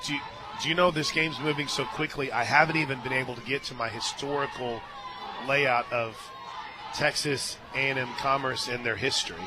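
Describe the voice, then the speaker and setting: An American man calling a softball game on radio, chatting in a folksy, conversational way and punching up each pitch. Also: steady tone, moderate pace. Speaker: play-by-play announcer. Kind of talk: live softball radio commentary with casual banter. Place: stadium radio booth. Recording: outdoor stadium crowd noise behind broadcast.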